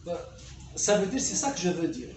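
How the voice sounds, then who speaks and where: Echoey, higher-voiced Algerian man explaining. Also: very animated, moderate pace, music in the background. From Kabylia, Algeria. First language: Kabyle. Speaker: poet being interviewed. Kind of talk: conversational interview about writing. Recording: echoey room, distant mic, faint noise.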